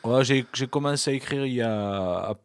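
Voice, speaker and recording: A man's voice: mid-range voice, normal speed. A man around forty, a singer-songwriter guest in a small studio, talking casually and hesitantly with many restarts. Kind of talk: casual interview talk.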